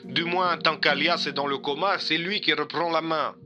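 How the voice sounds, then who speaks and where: A man's voice: a little high, fairly even intonation, moderate pace, sharp tone, faint music behind, pitched above the performer's own voice. A man playing a dutiful male police officer reporting to his superior in an audio drama. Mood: dutiful, serious.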